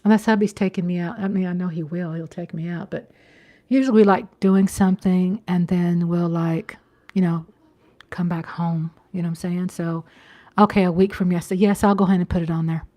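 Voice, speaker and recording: A woman's voice: lowish voice, steady tone, a little fast, dark tone. Woman in her fifties chatting on livestream. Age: 50s. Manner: conversational, repeats phrases and checks in with "you know". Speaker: livestream host. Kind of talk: casual livestream chat, replying to viewers.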